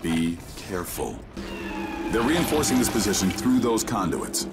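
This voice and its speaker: An actor playing a calm male mission handler, giving steady instructions in a video game. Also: fairly low pitch, measured pace. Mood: guiding. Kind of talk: video game cutscene voice acting. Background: game score music.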